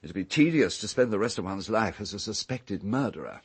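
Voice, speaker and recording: An actor playing an urbane, haughty English castle owner in a radio drama, with a husky voice and pompous, measured formal speech. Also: male voice, fairly low pitch, expressive. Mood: dry, speculative.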